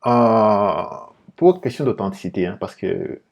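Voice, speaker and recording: A man with a Congolese French accent giving informal political commentary in a halting, repetitive, explanatory way. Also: fairly low pitch, lively intonation, vocal fry. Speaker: political commentator and video host.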